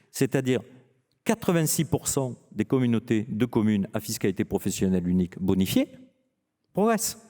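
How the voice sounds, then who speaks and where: Male seminar speaker, expert and didactic, animated intonation, mellow tone, recorded in a reverberant hall. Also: mid-range voice. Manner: didactic, rhetorical questions answered himself. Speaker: finance consultant presenting at a seminar. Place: large indoor conference venue.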